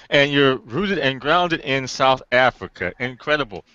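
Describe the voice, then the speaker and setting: A man's voice: mid-range voice, expressive, unhurried, dull audio, over a noisy crowd. A man hosting an online interview, upbeat and folksy, with frequent ums and uhs. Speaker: host.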